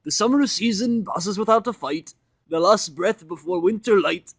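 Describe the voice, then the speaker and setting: A man voicing an excitable young female pony with gushing enthusiasm in an amateur fan fiction reading. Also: sits high, moderate pace, dry recording, pitched above the performer's own voice. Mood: dreamy singing. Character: excitable young filly pony, girlish and singing.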